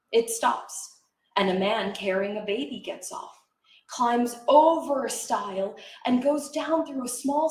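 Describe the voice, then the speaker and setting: A woman reciting poetry as a dramatic reader, with measured, expressive and deliberate phrasing. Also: high-pitched. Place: indoor library event room. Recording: echoey room, live reading.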